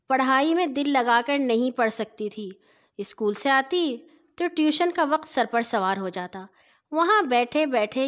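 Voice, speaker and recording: A woman storyteller narrating scripted stories for children in a deliberate, phrase-by-phrase way. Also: high voice.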